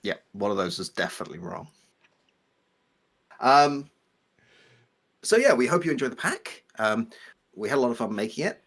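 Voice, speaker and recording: A man's voice: medium pitch, very animated, moderate pace, long pauses, a bit bright. A man from Belgium whose first language is French, co-hosting a casual live stream and explaining things in a digressive way. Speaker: livestream co-host, game asset developer.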